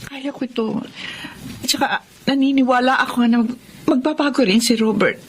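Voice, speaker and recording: An actress playing a self-sacrificing wife and older sister in a radio drama, with a Filipino accent and a hesitant, pleading manner. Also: female voice, high voice, a little fast, natural voice. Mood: gentle, forbearing. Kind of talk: Tagalog radio drama acting. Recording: faint background noise.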